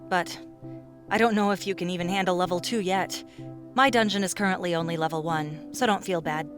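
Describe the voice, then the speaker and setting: A man's voice: high voice, fairly even intonation, fairly quick, a bit bright, soft background music, voice pushed up. A man voicing a casual, teasing young woman companion in an audiobook.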